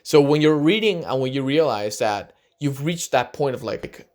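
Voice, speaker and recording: A man's voice: mid-range voice, expressive, darkish tone. Earnest young man giving advice. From Argentina. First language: Spanish. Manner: earnest, encouraging, sometimes slow and stressed. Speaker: vlogger. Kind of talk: casual advice talk to camera.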